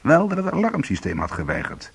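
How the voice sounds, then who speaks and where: A man's voice: a little high, huge pitch swings, fairly quick, vocal fry, slightly rough, heavily acted. A man playing a bitter, sardonic small-time ex-convict in a Dutch radio play, protective and combative. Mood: bitter, wry. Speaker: lead actor and first-person narrator.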